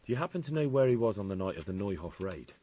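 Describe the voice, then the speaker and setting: A man's voice: lowish voice, very animated, fast talker, dark tone. An actor playing an earnest, dogged young man who questions people politely but insistently in a video game cutscene. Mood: inquisitive.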